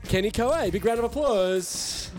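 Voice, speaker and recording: A man's voice: sits high, animated, measured pace. Man, show host, upbeat, presenter style, outdoors, light music behind. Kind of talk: hosting a live outdoor interview. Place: outdoors at a festival.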